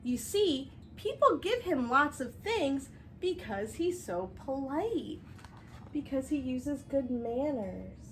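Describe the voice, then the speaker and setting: A woman reading a picture book aloud as a children's teacher, expressive and instructive, explaining things directly to young viewers. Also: high voice, takes it slowly, slight background noise. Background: music.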